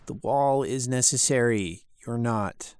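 A man's voice: mid-range voice, slow pace, darkish tone, a little hiss or hum, close to an everyday voice. A young man acting as an uptight, studious college roommate in an audio drama, curt, sardonic and exasperated. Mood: irritated, stern. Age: young adult.

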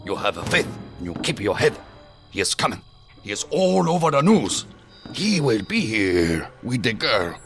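A man's voice: fairly low pitch, big pitch swings, moderate pace, fairly bright tone, faint music behind. A man playing a stern, zealous leader of a secret faith group, solemn and commanding, in an audio drama. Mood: fervent, solemn. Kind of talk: science fiction audio drama acting.

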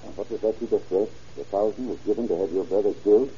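Businesslike sheriff, quick clipped speech, gravel-rough husky low voice, old radio drama, muffled. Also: male voice, warm tone. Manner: clipped questioning, authoritative. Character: brisk, businesslike county sheriff, probing and commanding. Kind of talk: old-time radio crime drama acting.

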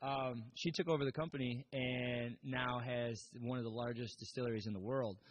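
A man's voice: medium pitch, fairly even intonation, normal speed, no echo. A man co-hosting a podcast, retelling a story he read and apologizing for gaps in the details. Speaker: podcast co-host. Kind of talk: casual chat.

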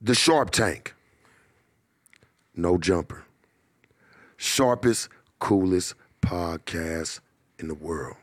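A man's voice: low-pitched, animated, very slow, pauses often. A man hosting a podcast, laid-back and hyping his guest in slangy, profane casual talk. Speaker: podcast host. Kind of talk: casual chat.

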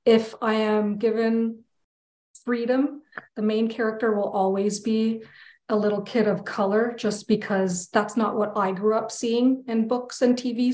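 A woman's voice: mid-range voice, darkish tone. A woman from Canada with a Canadian accent, talking casually and candidly as an interview guest. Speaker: interview guest, children's book illustrator. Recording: echoey, roomy sound.

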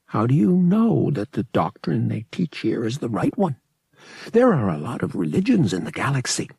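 A man's voice: medium pitch, wildly swooping pitch, moderate pace, vocal fry, darkish tone, husky, no room sound, voice pushed up, full character voice. A man voicing a roguish young male pilot in an audiobook, casual and coaxing, with a sceptical streak. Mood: casual, probing. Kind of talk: audiobook character acting.

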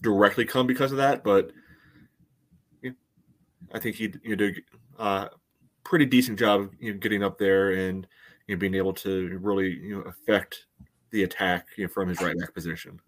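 A man co-hosting a sports podcast, giving casual soccer analysis in a measured, conversational way peppered with "you know.". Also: low-pitched, fairly even intonation.

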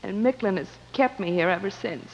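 A woman's voice: mid-range voice, fairly quick, natural voice. A woman playing a frightened young captive señorita in a Western radio drama, pleading melodramatically. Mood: distressed. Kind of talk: 1950s Western radio acting. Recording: muffled, echoing old radio recording.

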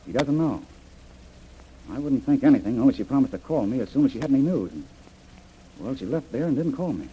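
An actor playing a decisive, troubled adventurer man with a husky voice in an old-time radio adventure. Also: medium pitch, very animated, fairly quick, long pauses, a little hiss or hum, close to an everyday voice. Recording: dull old radio recording.

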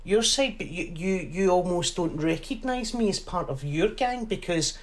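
A woman's voice: fairly low pitch, moderate pace. A woman in her forties with a Glasgow accent, a guest telling stories in a casual interview and acting out other people's voices. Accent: Glaswegian. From Scotland. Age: 40s. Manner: animated storyteller who acts out other voices.

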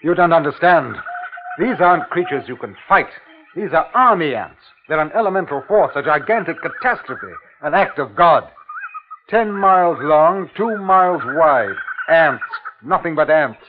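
A man's voice: on the high side, expressive, normal speed, slight background noise, natural voice. Grave, urgent official played by a man, tinny vintage radio. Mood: urgent warning. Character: earnest, alarmed male district commissioner warning a planter. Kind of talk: 1950s radio drama narration and dialogue. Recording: tinny 1950s radio recording with music.

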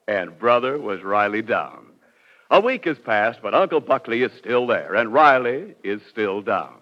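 A man's voice: fairly low pitch, sing-song, a little slow. A male announcer delivering scripted narration in a polished, emphatic 1940s radio style. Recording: dull vintage radio recording.

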